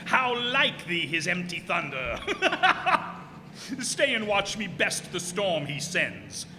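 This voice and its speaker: A man's voice: sits high, wildly swooping pitch, takes it slowly, full character voice. A man playing a proud, mad, tyrannical sea captain who defies heaven in grand archaic declamation, in old-time radio drama style. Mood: scornful. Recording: hall reverb, faint background noise.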